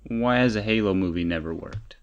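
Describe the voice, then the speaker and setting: A man's voice: on the low side, fairly quick, smooth. A man co-hosting a podcast, chatting casually about games and films in a probing, opinionated way. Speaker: podcast co-host. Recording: dull, band-limited lo-fi sound.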